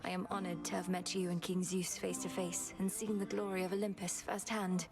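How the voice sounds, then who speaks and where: A woman's voice: lowish voice, little pitch movement, fairly quick, lightly acted. Woman acting young goddess princess, polite n determined, formal game dialogue, music in back. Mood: respectful, resolute. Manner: formal, courteous diction. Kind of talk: scripted video game dialogue. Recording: music behind the voice.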